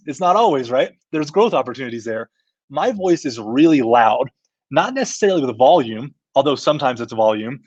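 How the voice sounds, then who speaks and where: A man's voice: mid-range voice, slightly rough, no echo, lo-fi sound. A man hosting a business live-stream discussion as company CEO, asking questions and walking through a screen share.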